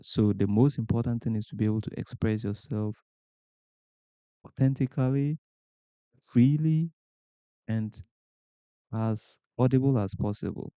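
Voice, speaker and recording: A man's voice: lowish voice, a little slow, pauses often, dark tone, clean tone, dry recording, dull audio. A man from Ghana with a Ghanaian accent, hosting a podcast and giving earnest motivational advice to camera, asking rhetorical questions and repeating points for emphasis. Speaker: podcast host.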